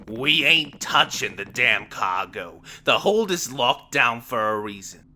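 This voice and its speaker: A man voicing a gruff, sarcastic crewman of a doomed space freighter in a video game fan dub, blunt and scornful. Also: medium pitch, big pitch swings, a little slow, sharp tone, exaggerated voice. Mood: grumbling.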